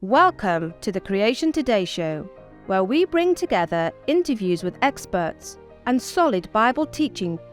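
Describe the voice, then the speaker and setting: A woman's voice: medium pitch, big pitch swings, unhurried, soft background music. A woman announcer reading a scripted show introduction in a polished manner.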